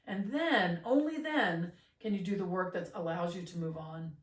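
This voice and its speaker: A middle-aged woman co-hosting a podcast, talking in an earnest, gentle way with many fillers and restarts. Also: fairly low pitch, normal speed. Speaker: podcast co-host. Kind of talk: reflective casual chat. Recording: roomy, slightly distant mic.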